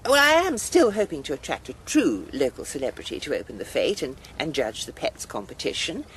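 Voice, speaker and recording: A woman's voice: deep voice, wildly swooping pitch, fairly quick, slight background noise, full character voice, poor audio. An actress playing a fussy, theatrical older village committee woman in a radio drama, precise and long-suffering. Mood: polite, guarded. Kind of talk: naturalistic radio drama acting.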